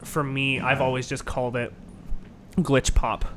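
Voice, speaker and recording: A young man with a high register voice, a musician talking through his influences in a casual interview, rambling with lots of fillers and restarts. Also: flat delivery, measured pace, a bit bright, slight background noise. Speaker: guest musician.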